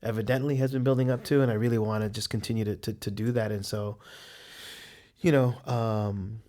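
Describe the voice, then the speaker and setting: A man's voice: on the low side, steady tone, fairly quick, warm tone. Earnest man, artist talking about his work. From San Francisco's Mission District. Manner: earnest, hesitant, many fillers and restarts. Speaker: artist, interview guest. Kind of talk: reflective interview answer.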